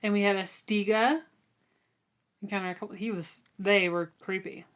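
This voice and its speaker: A woman's voice: medium pitch, steady tone, moderate pace, clear, resonant tone. A woman co-hosting a book discussion in a casual, conversational way. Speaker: podcast co-host.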